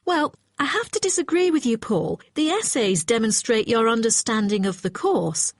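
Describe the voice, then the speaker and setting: A woman's voice: a little high, animated, normal speed, no echo. Woman acting as conscientious female student, dry recording. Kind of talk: scripted exam dialogue.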